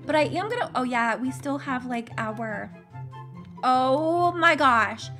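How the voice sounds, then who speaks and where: A woman's voice: sits high, animated, slow, pushed and bright, soft background music. A young woman hosting a gaming channel, commenting casually with gushing gasps and giggles while losing her voice. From Canada.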